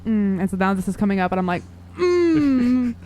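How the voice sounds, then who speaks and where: A woman's voice: mid-range voice, sing-song, normal speed, mellow. A woman playing a tabletop role-playing game, chatting casually and informally with loose, sweary language, over some background noise.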